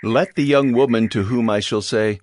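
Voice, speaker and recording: A man's voice: medium pitch, normal speed, darkish tone, no echo, studio quality, close to an everyday voice. A man playing a devout, deferential servant in a dramatized Bible recording, earnest and respectful as he pleads. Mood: prayerful, earnest. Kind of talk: dramatized Bible audio acting.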